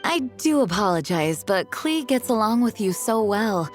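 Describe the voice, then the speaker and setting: A woman's voice: medium pitch, very animated, moderate pace, soft background music, lightly acted. An actress voicing a courteous, composed female knight leader in a video game. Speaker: acting grandmaster.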